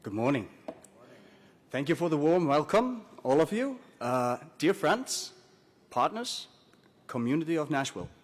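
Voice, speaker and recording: A man's voice: on the high side, big pitch swings, a little slow, pauses often. A man from Iceland giving a prepared corporate announcement speech as an airline executive. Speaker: airline chief commercial officer.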